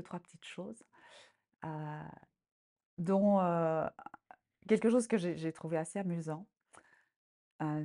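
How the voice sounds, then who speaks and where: A woman in her sixties, born in Congo, a storyteller recounting a family tale with many pauses, fillers and restarts that livens up as the tale goes on. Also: on the low side. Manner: halting, many fillers and restarts, animated when telling the tale. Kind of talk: personal account and folk tale.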